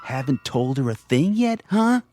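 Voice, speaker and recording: A ruthless alien soldier in a radio drama. His delivery is stern, clipped and commanding, at a medium pitch, over quiet music. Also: sing-song, a little slow. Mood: stern, accusing.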